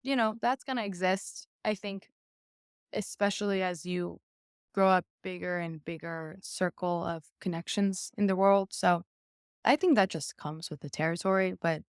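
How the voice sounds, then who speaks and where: A woman's voice: on the low side, fairly even intonation, normal speed, clear, resonant tone, no room sound, clean studio sound. A young adult woman whose first language is Persian, speaking as a podcast guest in a candid, chatty way with frequent fillers. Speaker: interview guest, violinist. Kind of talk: casual podcast conversation.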